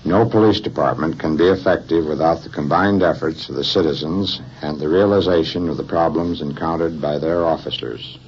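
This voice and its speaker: A man's voice: a bass voice, brisk, few pauses. An American man giving a stiff, official scripted radio address as police chief. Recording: muffled, hissy 1950s radio recording.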